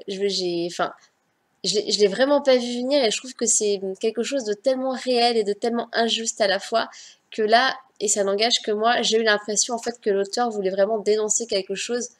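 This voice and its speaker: A woman chatting directly to her viewers as she reviews a book on her channel, correcting herself as she goes. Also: medium pitch. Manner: self-correcting, scattered. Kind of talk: casual book review vlog.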